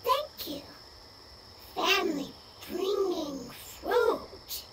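A woman voicing a small, timid, childlike mushroom creature in a game, speaking in halting broken phrases. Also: very high-pitched, lively intonation, heavy background noise, heavily acted. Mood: simple, grateful. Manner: halting broken phrases, one or two words at a time. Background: loud background music.

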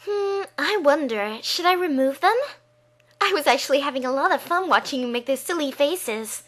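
A woman's voice: high-pitched, expressive, normal speed, fairly bright tone. A woman voicing a spirited, cheeky young lady thief in a game fandub audition, perky and theatrical. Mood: teasing, indignant.

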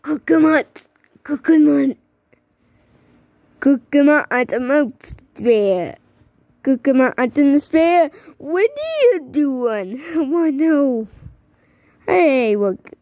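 A woman giving excited, exclaiming commentary while playing a mobile bowling game. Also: high-pitched, extremely slow, pauses often, dry recording. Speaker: gamer.

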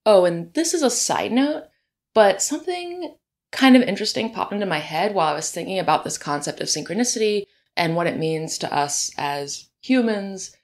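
A woman hosting her own podcast, talking casually with chatty asides, her voice hoarse and a bit deeper in the early morning. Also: animated, moderate pace. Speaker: podcast host. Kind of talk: solo podcast monologue. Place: home room, birds outside.

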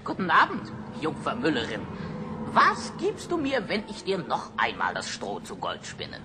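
A man playing a sly, gleeful little dwarf with a squeaky, cackling, chanting delivery in an old fairy-tale radio play. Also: wildly swooping pitch, normal speed. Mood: sly, menacing. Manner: chanting, swooping, teasing. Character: sly, gleeful little male dwarf imp, wicked bargainer. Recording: noisy old record.

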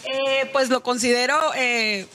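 A middle-aged woman speaks as an interview guest. Her voice is high and bright, and it rings with long studio reverb. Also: steady tone, slow. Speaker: university promoter, interview guest. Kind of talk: live TV interview. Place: indoor television studio. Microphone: handheld microphone.

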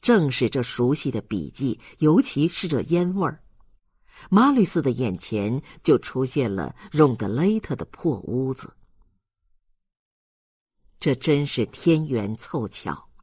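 A man reading a novel aloud as an audiobook narrator, in an expressive literary storytelling style. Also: mid-range voice, slow pace, slightly rough, dry recording, clean studio sound.

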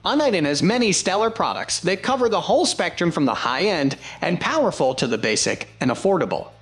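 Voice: mid-range voice, sing-song, a little fast, a bit bright, soft background music. A narrator reading a scripted product review in a presentational style.

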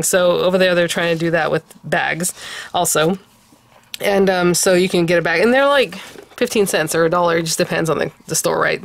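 A woman's voice: fairly low pitch, gravelly. A woman vlogger from California chatting casually and eagerly while showing off her shopping haul at a craft table. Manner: chatty and enthusiastic, rambling with fillers and laughs. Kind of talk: casual show-and-tell haul chat.

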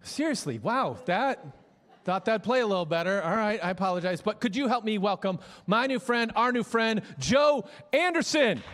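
Pastor guy from minnesota, fairly high voice, stumbles and repeats words, big echoey hall. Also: expressive, normal speed, fairly bright tone. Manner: conversational, many restarts and stammered repeats. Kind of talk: church announcements and guest introduction. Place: large church stage. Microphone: handheld mic. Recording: long hall reverb.

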